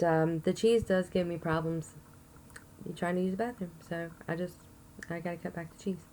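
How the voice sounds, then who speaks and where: A woman with a hoarse voice from a chest cold, rambling chattily to camera in a casual update video with plenty of ums. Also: on the low side, darkish tone, slight background noise. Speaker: vlogger.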